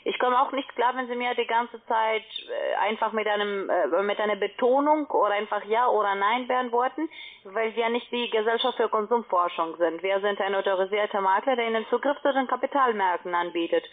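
Insistent saleswoman on a tinny phone. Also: medium pitch. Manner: insistent, formal, halting non-native phrasing. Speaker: call centre telemarketer. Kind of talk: telephone sales pitch. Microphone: telephone call. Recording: narrow, tinny phone line.

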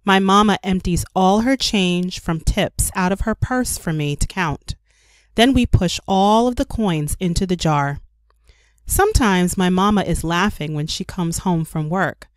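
A woman reading a children's story aloud as a storyteller, with careful, expressive narration for young children. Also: lowish voice, a little slow, no room sound. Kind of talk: scripted read-aloud narration.

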